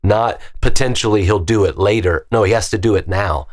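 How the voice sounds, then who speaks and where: A West Virginian man with a very low, heavy voice speaks as a pastor in a podcast discussion. He is emphatic and drives his points home with rapid strings of rhetorical questions.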